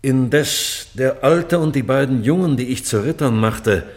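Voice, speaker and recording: A man's voice: mid-range voice, a little slow, close to an everyday voice. An actor playing a proud, remorseful old British king, declaiming solemnly in classical verse for a radio play. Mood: regal, commanding. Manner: declamatory, solemn, breaking into hushed tones.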